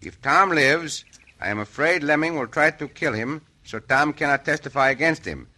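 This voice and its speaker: An actor playing a cool, confident Mexican cowboy hero with a Spanish accent and courtly manner, in an old-time radio western. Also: male voice, a little high, expressive, moderate pace, bright, forceful tone. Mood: patient. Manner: courtly, self-assured, sprinkles in Spanish.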